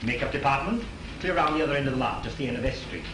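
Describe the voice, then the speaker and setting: A helpful studio man in a 1930s film, played by a male actor, talking quickly and matter-of-factly. He sounds off-mic in a roomy space, with clear hiss on the old soundtrack. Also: mid-range voice, expressive, close to an everyday voice. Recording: echoey, distant, hissy 1930s film soundtrack.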